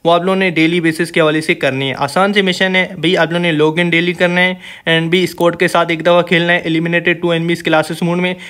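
Man, Pakistani accent, fairly high-pitched, gaming host, casual walkthrough narration, informal address. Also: flat delivery, normal speed, hardly any pauses, a bit bright. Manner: informal, addresses viewers as brothers. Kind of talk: casual game event walkthrough voice-over.

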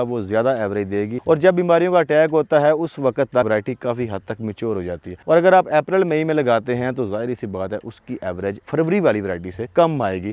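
A man. His voice sits at a middle pitch. He is speaking outdoors, close-miked on a lapel. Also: darkish tone, no echo. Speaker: farm video presenter. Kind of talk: explanatory farming presentation to camera. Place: outdoors in a farm field among palm trees. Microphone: lapel mic.

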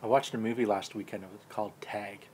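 A man's voice: on the low side, fairly even intonation, quick pace, darkish tone, slight background noise. A man in his forties chatting casually with friends on a podcast, often agreeing with brief replies. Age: 40s. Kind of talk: casual chat among friends.

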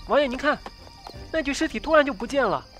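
A woman's voice: high voice, animated, fast talker, a bit bright, soft background music. A woman playing an anxious, deferential young servant in a Chinese costume audio drama. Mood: alarmed. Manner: deferential, flustered.

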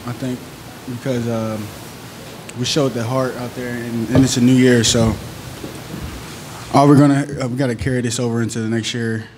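A man's voice: mid-range voice, flat delivery, moderate pace, long pauses, darkish tone, a little hiss or hum, poor audio. A young man, a college running back, answering press questions earnestly at a table mic. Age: young adult. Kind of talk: postgame press conference answers. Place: press conference room.

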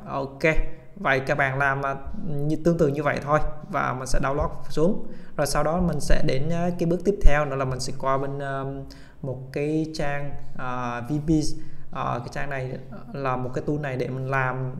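A man hosting a casual software tutorial, speaking haltingly with many hesitation sounds and English tech terms mixed in. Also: mid-range voice, steady tone. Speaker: tutorial host.

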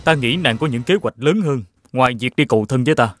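A man's voice: mid-range voice, fast talker, music in the background, natural voice. A man voicing a noble, earnest young prince in a fairy-tale cartoon. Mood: courteous, firm.

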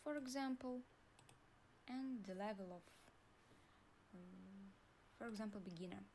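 A young woman with a Russian accent, calmly walking viewers through an online form in a tutorial. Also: mid-range voice, a little hiss or hum. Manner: slow, deliberate, pauses while clicking. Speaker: university staff guide. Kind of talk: screen-recorded tutorial walkthrough.

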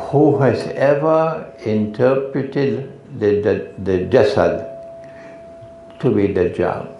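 A man's voice: mid-range voice, noisy background. A man with a Trinidadian accent answering audience questions after a lecture, firm and corrective. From Trinidad and Tobago. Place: lecture hall. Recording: echoey hall, slightly dull.

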